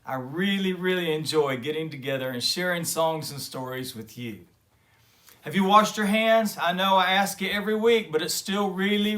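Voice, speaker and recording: A man hosting a children's story show, talking directly to young kids in an enthusiastic, gentle way. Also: fairly high pitch.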